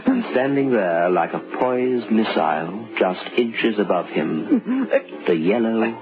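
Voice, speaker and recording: An actor playing a grave male storyteller, narrating an old-time radio suspense tale with dramatic, deliberate phrasing. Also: fairly low pitch, sing-song, full character voice. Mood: ominous. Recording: lo-fi old radio recording with music.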